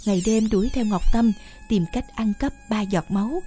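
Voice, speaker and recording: A woman's voice: mid-range voice, moderate pace, mellow. Woman narrating a fairy tale over music. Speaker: storyteller narrator. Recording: music behind the voice.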